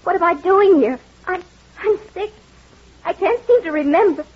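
Casting: frightened amnesiac heroine, high and dramatic, searching, dull old radio recording. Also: female voice. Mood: bewildered. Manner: dramatic, anguished, halting. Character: confused, amnesiac young woman, frightened and searching. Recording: muffled, noisy vintage radio recording.

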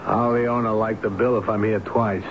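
A man's voice: on the low side, level tone, normal speed, lightly acted. A man playing a brusque, impatient repair tradesman in a radio drama, curt and pushy. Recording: muffled, slightly noisy old radio recording.

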